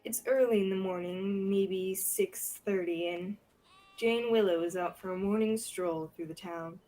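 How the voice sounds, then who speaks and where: A woman's voice: medium pitch, steady tone, a little slow, mellow, faint music behind, low-quality recording. A young woman reading scripted narration for a radio theatre play. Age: young adult.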